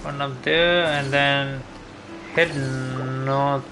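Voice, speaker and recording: A man giving casual, unhurried gameplay commentary, thinking aloud as he goes. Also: mid-range voice, steady tone, background noise. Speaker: game streamer. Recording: game music behind voice. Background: video game music.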